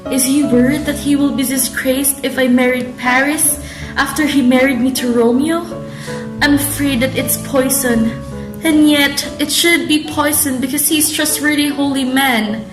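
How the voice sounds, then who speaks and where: A young woman plays a dutiful but troubled daughter in an amateur radio drama. Her voice is fairly high, with a strong room echo and music playing underneath. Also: steady tone, normal speed, close to an everyday voice. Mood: fearful, desperate. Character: troubled young daughter, outwardly obedient, inwardly fearful. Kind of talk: student radio drama acting.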